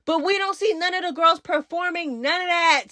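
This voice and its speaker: A woman's voice: extremely high pitch, normal speed, sharp tone, no room sound. A woman giving casual gossip commentary as a channel host, speaking in a sassy, emphatic, slangy way. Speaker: host of a reality TV recap channel.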